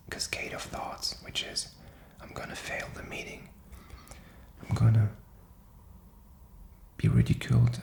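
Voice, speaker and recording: Whispered male voice, strong creaky fry, hesitant reflective rambling, ASMR style, roomy echo. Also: on the low side. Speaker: ASMR content creator.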